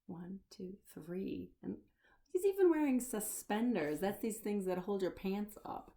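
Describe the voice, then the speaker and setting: A woman's voice: mid-range voice, lively intonation, unhurried, dark tone. A woman children's storyteller reading a picture book aloud, expressively, explaining and putting questions to the listener.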